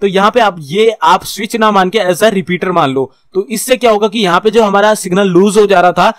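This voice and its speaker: A man's voice: high-pitched, normal speed, dry recording. A man with an Indian accent giving an informal tutorial as a course instructor, explaining step by step, checking in often and mixing in English technical terms. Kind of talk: informal screen-share tutorial.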